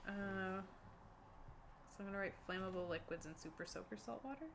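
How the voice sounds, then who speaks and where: A woman's voice: mid-range voice, brisk, gravelly. A woman playing a tabletop game, chatting casually as she plans with the group. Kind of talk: casual planning chat. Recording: noisy room recording.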